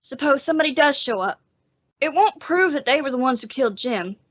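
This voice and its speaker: A woman's voice: sits high, animated, fairly quick, fairly bright tone, dry recording. A woman playing an anxious, questioning young female helper in an old-time radio western. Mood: anxious, curious. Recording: dull lo-fi audio.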